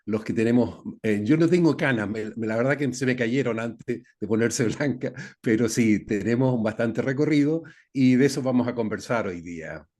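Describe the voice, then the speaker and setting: An older Chilean man, a guest on a radio interview, answers in a loose, rambling way. He often stops on "eh" and checks in with "¿no es cierto?". His voice sits at a middle pitch for a man. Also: moderate pace, hardly any pauses, a little mellow. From Santiago, Chile. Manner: rambling, with frequent fillers and "¿no es cierto?". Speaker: guest engineer. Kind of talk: conversational interview.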